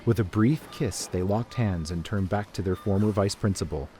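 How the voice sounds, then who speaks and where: A man's voice: low-pitched, normal speed, mellow, slight background noise. A man reading scripted story narration as a narrator, with music behind him.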